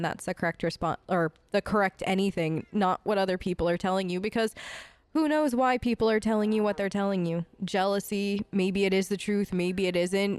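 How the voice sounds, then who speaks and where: A woman's voice: fairly low pitch, darkish tone, clear, resonant tone. A woman improvising in-character replies for a role-play let's play, chatty, casual and a little hesitant. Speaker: gaming host, role-playing as player character. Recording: game music under voice. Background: game music.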